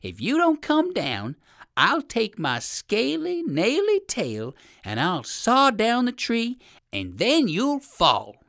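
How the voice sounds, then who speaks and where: A woman voicing a savage, blustering male alligator in a children's story, loud, drawn-out and theatrical. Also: a little high, wildly swooping pitch, voice pushed up, full character voice. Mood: menacing.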